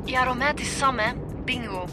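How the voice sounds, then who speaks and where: An actress with a Flemish accent playing a chatty, keen police inspector in a radio drama. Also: female voice, sits high, fairly quick, over music, close to an everyday voice. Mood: eager.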